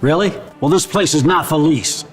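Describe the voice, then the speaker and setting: A man's voice: on the high side, normal speed, soft background music, lightly acted. A man acting a bitter, hostile failing shop owner in a scripted morality drama, sneering and accusatory.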